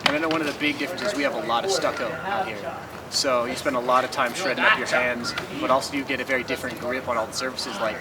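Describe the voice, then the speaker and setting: A twenty-year-old man telling parkour stories in an animated way, acting out quotes in an outdoor interview. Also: fast talker, a loud crowd. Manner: acts out quotes, swooping pitch. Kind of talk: animated storytelling. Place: outdoors on a campus. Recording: distant mic, busy outdoor noise.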